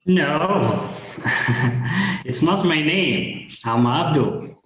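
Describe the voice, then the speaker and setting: A man's voice: a little high, animated, extremely slow, fairly bright tone, reverberant. A man, speaking in a hesitant way with many fillers as a guest on a video call. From Morocco. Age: 30s. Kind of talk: casual video call chat.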